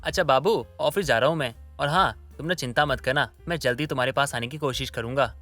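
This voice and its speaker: A man playing a placating, smooth-talking young husband in a story drama. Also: mid-range voice, a little fast, a bit bright, no echo, soft background music, close to an everyday voice. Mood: reassuring. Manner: coaxing, placating. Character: smooth-talking, two-timing young husband full of excuses. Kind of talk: Hindi moral-story audio drama acting.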